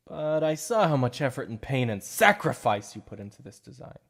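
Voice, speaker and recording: Shy obsessive supervillain, male, a man acting it out; soft-spoken ASMR roleplay, stammers over slips, mid-range pitch. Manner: flustered, stammering slips of the tongue.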